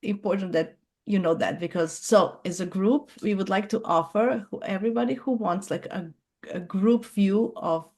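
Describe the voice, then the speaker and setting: Woman talking haltingly. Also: mid-range voice, a little mellow. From Croatia. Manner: halting, many fillers and restarts, searches for words. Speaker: astrologer hosting a group chat. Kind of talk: casual group video chat.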